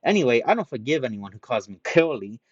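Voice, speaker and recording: A man reading a story aloud, voicing a loud, boastful young man who picks fights and brags. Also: medium pitch, expressive, dry recording, dull audio, lightly acted. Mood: brash, indignant. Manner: shouting, bragging, quick to pick fights.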